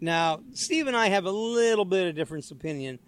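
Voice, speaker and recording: A man's voice: sits high, expressive, a little fast, clean tone. A man co-hosting a sports radio show, chatty and opinionated about football. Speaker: co-host.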